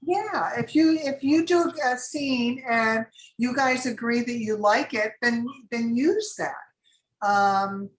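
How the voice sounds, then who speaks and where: A woman's voice: fairly high pitch, takes it slowly. Woman, casting director, casual advice to actors, rambling, fillers, roomy echo. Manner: chatty, rambling with many fillers. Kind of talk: advising actors in a class.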